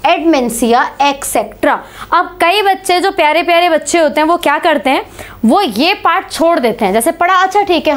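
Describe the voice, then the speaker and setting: A high-pitched woman in her thirties gives an online biology lecture in a small studio. Her voice is a touch hoarse from a sore throat, and she keeps throwing questions at her students and nudging them to answer. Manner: animated, asks students questions, calls them beta. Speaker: biology teacher. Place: small indoor studio before a slide board.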